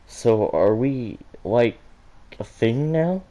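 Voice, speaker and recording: A man's voice: medium pitch, animated, takes it slowly, mellow. A man voicing a shy teenage girl in an amateur storybook reading, speaking in a tentative, hesitant way. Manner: tentative, stammering.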